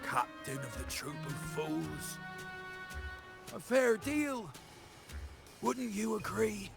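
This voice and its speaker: A man's voice: high-pitched, sing-song, slow, creaky, music in the background, full character voice. A man voicing a cowardly, greedy pirate captain in a video game, theatrical and wheedling, stammering as he bargains. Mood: pleading, oily.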